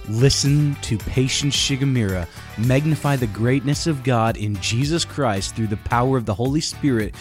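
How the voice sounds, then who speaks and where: A man reading scripted sponsor ads in a measured, solemn preaching tone. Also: medium pitch. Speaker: ad announcer. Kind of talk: scripted ad read. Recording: music bed behind voice.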